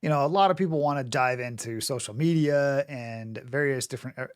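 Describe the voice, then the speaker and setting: A man's voice: mid-range voice, fairly quick, clear, resonant tone. A man hosting a business podcast, introducing his guest and asking long, winding questions in an upbeat way. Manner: upbeat, rambling questions with restarts. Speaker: podcast host.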